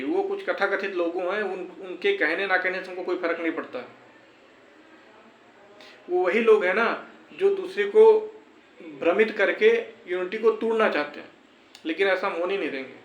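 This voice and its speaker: High-pitched young man, echoey room. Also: moderate pace, fairly bright tone. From India. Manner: earnest, reassuring, repeats phrases for emphasis. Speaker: exam-prep vlogger. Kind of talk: informal motivational address to followers. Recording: echoey room with faint background noise.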